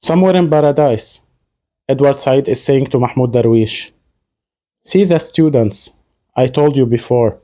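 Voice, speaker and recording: A man reads poetry in a hushed, subdued voice that often drops low in volume. His tone is warm and mellow, neither high nor low. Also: measured pace. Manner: quiet, subdued, soft-spoken reading. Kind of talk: spoken-word poetry recitation.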